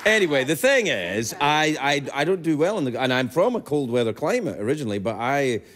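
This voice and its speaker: Teasing late-night host over roaring audience. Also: male voice, on the high side, very animated, brisk, over a noisy crowd. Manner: teasing, playful, breaks into laughter. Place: TV talk show studio with audience. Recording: loud studio audience and noise.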